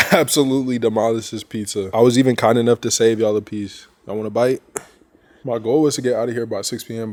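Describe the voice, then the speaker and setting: A man around twenty, a brand owner, narrating his workday in a relaxed, candid vlog and talking straight to his viewers. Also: on the low side. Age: 20s.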